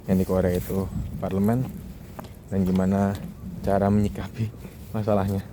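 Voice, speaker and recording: A man's voice: sits low, flat delivery, moderate pace, background noise. A young man from Indonesia talks casually in a joking monologue vlog, mixing in English words. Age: young adult. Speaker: vlogger, international student.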